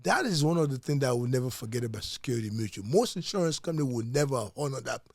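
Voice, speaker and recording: Animated man with a creaky voice telling stories. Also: medium pitch, quick pace, no room sound. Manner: emphatic, repeats phrases. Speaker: insurance agent, interview guest. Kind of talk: animated personal storytelling.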